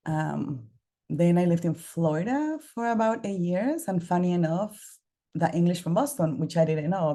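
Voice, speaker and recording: A woman speaks English over a video call. Her voice sits low and dark. She tells her story in a warm, expressive manner. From Costa Rica. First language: Spanish. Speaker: early education trainer. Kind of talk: presenter introducing herself.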